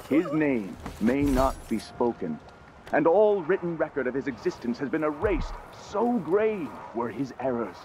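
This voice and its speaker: A solemn, dramatically swooping storyteller. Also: male voice, medium pitch, background noise. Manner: solemn, portentous, formal. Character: grave male religious storyteller reciting a forbidden tale. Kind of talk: scripted game narration, storytelling.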